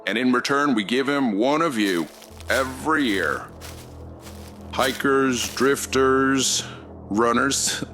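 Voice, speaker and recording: A man acts out a menacing small-town cult zealot in a horror audio drama. He speaks slowly and solemnly in a mid-pitched voice, weighing each word like a threat, with music underneath. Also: pushed and bright. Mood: solemn menace. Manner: slow, deliberate, ominous.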